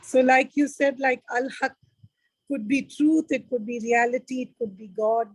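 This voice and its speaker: Woman on a video call, husky voice. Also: high-pitched, flat delivery, no room sound, poor audio. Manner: halting, many fillers and restarts. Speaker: member giving vote of thanks. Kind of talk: thank-you speech on video call.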